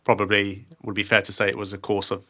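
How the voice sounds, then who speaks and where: A man's voice: fairly low pitch, little pitch movement, quick pace, sharp tone. A man hosting an interview in a conversational style. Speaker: interview host.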